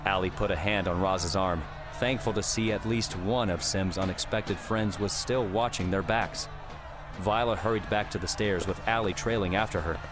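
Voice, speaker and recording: A man's voice: sits low, fairly even intonation, normal speed. A man reading scripted third-person fiction as an audiobook narrator. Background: prominent music with outdoor ambience.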